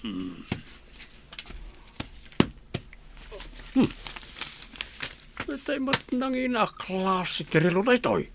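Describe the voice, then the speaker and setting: A man's voice: sits high, wildly swooping pitch, takes it slowly, background noise, exaggerated voice. A man playing a stern, materialistic middle-aged father in a Filipino radio comedy drama. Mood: gloating. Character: stern, materialistic father pushing his daughter to marry rich.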